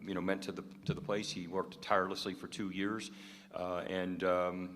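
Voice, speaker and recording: Really deep monotone guy, university official at a presser, halting with lots of uhs. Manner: halting, many fillers and restarts. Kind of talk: press conference remarks. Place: press conference room. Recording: long echoey hall reverb.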